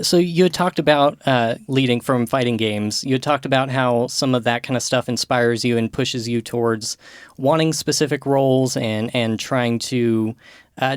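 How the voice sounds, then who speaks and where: A man hosting a podcast interview, asking questions in a relaxed, measured way with some "uh" fillers. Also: medium pitch, clear, resonant tone, no room sound, studio quality. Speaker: podcast host.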